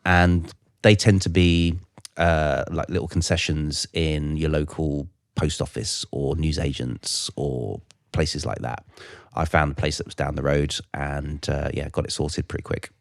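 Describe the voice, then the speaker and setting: A middle-aged British man co-hosting a casual podcast, explaining things in a halting way. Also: very deep voice, steady tone, vocal fry, dry recording, studio quality. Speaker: podcast co-host.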